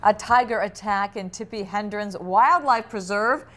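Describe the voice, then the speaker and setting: A woman's voice: medium pitch, unhurried, fairly bright tone, slight background noise. A woman co-anchoring a newscast, reading scripted news and entertainment items.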